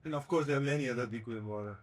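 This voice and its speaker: A man's voice: medium pitch, very fast. A man giving brief, impromptu thanks at an event. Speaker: organization member giving thanks. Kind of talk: impromptu remarks. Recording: voice far from the mic.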